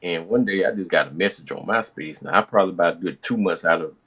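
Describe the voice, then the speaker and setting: A man's voice: sits low, quick pace, a bit bright, breathy edge. An American man hosting a podcast, casually telling stories from his younger days in slangy, profane talk, acting out the dialogue as he goes. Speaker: podcast host.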